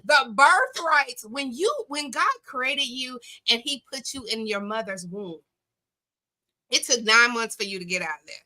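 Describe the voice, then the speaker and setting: Woman, high and piercingly bright, folksy motivational speaker with emphatic, preacherly delivery. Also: unhurried, rough recording. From southern United States. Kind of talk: casual faith-based motivational talk. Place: radio studio.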